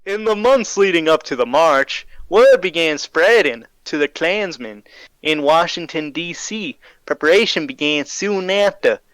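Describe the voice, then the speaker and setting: A man's voice: a little high, animated, unhurried, a bit bright, clean tone, no room sound, poor audio. A man playing a folksy, matter-of-fact interview guest in a 1920s-style radio show. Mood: solemn narrating. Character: folksy man recounting his undercover time in a hate group.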